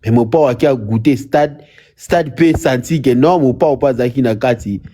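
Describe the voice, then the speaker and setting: A man calling in to a live show, talking in a rambling, halting way with many restarts. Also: medium pitch, dark tone. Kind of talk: live call-in chat.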